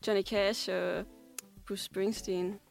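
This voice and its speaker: A woman's voice: mid-range voice, level tone, gravelly, faint music behind. A Danish woman, a musician guest in a radio studio, reminiscing in a casual, reflective interview. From Denmark. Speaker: singer-songwriter and pianist being interviewed. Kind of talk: casual interview, personal recollection.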